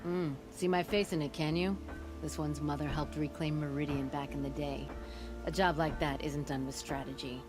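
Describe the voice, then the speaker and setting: A woman's voice: deep voice, fairly even intonation, normal speed, natural voice. An actress playing a proud, middle-aged forge boss in a video game, blunt, wry and folksy, with music under her. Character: brash, proud older forge boss woman, gruffly humorous. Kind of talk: video game character acting.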